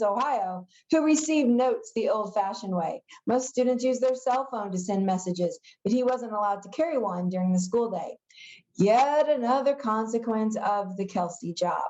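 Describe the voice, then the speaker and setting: A woman reading a children's novel aloud in an expressive storytelling style. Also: fairly high pitch, dull audio.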